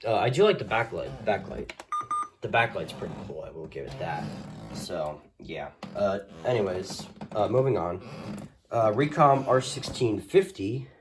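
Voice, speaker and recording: A man's voice: on the low side, animated, a little slow. Hesitant man rambling over a noisy recording. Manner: hesitant, rambling, self-correcting. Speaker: host of a radio collection video. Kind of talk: casual unscripted show-and-tell. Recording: noisy home recording with clicks.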